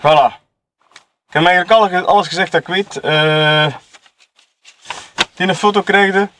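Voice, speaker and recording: Male actor as sleazy photographer-dealer, Flemish accent, fairly high male voice, smug, mocking, colloquial, audio murder-mystery drama. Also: moderate pace, fairly bright tone, a little hiss or hum. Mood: cocky, dismissive. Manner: colloquial, taunting, tag questions, chuckling self-justification.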